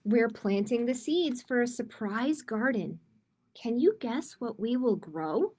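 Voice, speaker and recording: Female storytime host, higher-pitched, expressive delivery for young children and parents, reading aloud and chatting informally. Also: a little slow, husky. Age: adult. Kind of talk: reading picture books aloud with informal asides to viewers. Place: live-streamed online storytime.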